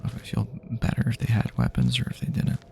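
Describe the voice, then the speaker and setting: Soft gravelly guy doing asmr survivor roleplay, really deep, rambles and trails off, some ambient noise behind him. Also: sing-song. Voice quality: breathy, half-whispered, gravelly. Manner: halting, rambling, casual. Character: weary friendly male apocalypse survivor guiding a companion.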